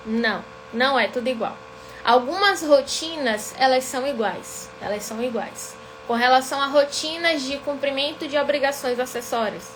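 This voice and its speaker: A woman's voice: high-pitched, a bit bright, a little hiss or hum, poor audio. A woman from Salvador with a Bahian accent, an accountant teaching a weekly live class in a didactic way, often checking in with her viewers. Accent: Bahian Brazilian Portuguese. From Salvador, Bahia, Brazil. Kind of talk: live-streamed lesson.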